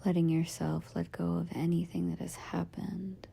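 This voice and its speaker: A woman guiding a meditation as a yoga nidra teacher, in a soft, breathy, husky half-whisper, giving gentle, soothing instructions in short phrases with pauses. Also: fairly low pitch, flat delivery. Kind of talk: guided meditation.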